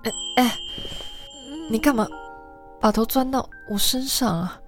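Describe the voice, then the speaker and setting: A woman playing an earnest, tender, easily flustered female bar manager in a radio drama, speaking hesitantly with breathy murmurs. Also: on the high side, unhurried, over music, close to an everyday voice.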